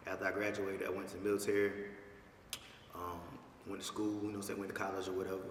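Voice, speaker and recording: A man's voice: fairly low pitch, fairly bright tone. A man with a Georgia Southern accent talking casually and emphatically in slang, as a guest in an interview. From Dublin, Georgia, USA. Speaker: interview guest, club promoter and host. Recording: echoey reverberant room, distant mic, faint noise.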